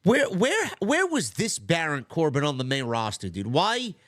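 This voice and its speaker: A man's voice: on the high side, wildly swooping pitch, moderate pace, a bit bright, no room sound, studio quality. A man from New York hosting a casual watch-along livestream, blunt and profane, sounding stuffy and nasal from congestion. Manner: blunt, swears a lot, restarts often. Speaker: livestream host.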